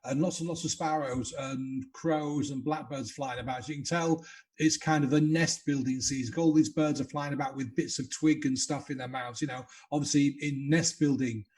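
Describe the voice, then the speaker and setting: A man's voice: mid-range voice, moderate pace. Chatty male podcast host. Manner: chatty, joking, relaxed questions. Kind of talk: casual interview hosting.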